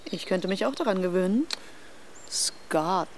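An actress playing a gentle, dreamy wife in a radio drama, soft-spoken and accommodating. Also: female voice, medium pitch, animated, a little slow, slight background noise. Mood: light, friendly. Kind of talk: German radio drama acting.

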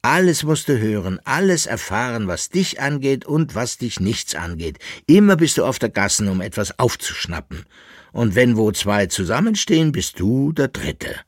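A man's voice: medium pitch, very animated, normal speed, no echo, clean studio sound. A man voicing an anxious, scolding and wailing musician in a dramatic audiobook story. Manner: loud, reproachful, lamenting.

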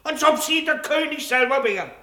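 A man's voice: very high voice, expressive, brisk, sharp tone, exaggerated voice. An actor playing a stubborn, defiant man who argues back curtly at an official. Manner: defiant, curt, argumentative. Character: stubborn defiant man accused of buying stolen wood. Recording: roomy studio echo.

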